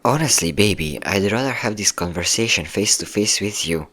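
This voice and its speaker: A man's voice: fairly low pitch, expressive, normal speed, a bit bright, slight background noise. A man playing a possessive, manipulative ex-boyfriend in a dramatic ASMR roleplay, coaxing with pet names and sweet-talking menace. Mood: coaxing, controlling. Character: possessive, manipulative yandere ex-boyfriend hunting his hidden partner.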